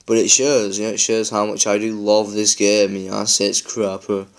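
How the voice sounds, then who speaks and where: A man talks loosely over his gameplay, his voice a little low and worn croaky from a long session. He rambles with plenty of fillers, friendly and unscripted. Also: flat delivery, normal speed. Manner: rambling, chatty, many fillers. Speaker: gaming YouTuber. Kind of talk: casual gameplay chat.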